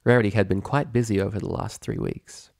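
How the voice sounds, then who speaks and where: A man's voice: lowish voice, fairly even intonation, brisk, gravelly, a little mellow, no echo, clean studio sound. A man reading a story aloud as a scripted narrator. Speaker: fan fiction reader.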